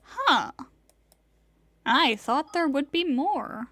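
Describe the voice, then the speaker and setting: Female live streamer, high voice, casual and conversational delivery. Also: sing-song, very slow, pushed and bright, no echo.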